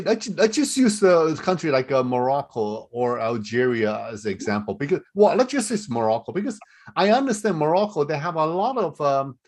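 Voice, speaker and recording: A man moderating a webinar Q&A over a video call, chatty and halting, with frequent laughs and restarts. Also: fairly high pitch. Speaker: forum moderator.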